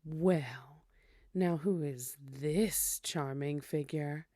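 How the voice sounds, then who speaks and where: Woman as a slow, low, flirtatious vampire. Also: dark tone, dry recording, exaggerated voice. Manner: slow, coy, mock-polite formality. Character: flirtatious, teasing vampire woman, smoothly seductive. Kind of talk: scripted ASMR audio roleplay acting.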